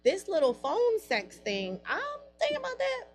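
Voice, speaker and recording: A woman co-hosting a radio talk show, blunt and animated, laughing as she tells stories. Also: extremely high pitch, moderate pace, faint music behind. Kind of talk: casual chat.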